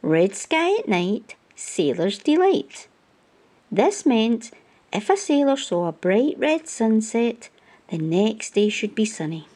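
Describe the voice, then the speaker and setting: Woman reading a picture book, unhurried, expressive. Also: fairly high pitch. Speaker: children's storytime host reading aloud. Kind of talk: children's picture book read-aloud.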